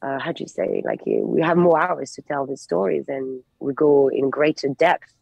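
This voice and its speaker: A woman's voice: rumbling low, very animated, normal speed, creaky, a little mellow, husky, dry recording, poor audio. A woman in her forties with a mixed French and English accent, chatting as a guest and searching for words. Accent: French with English mix. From France. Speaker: actress guest. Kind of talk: radio interview chat.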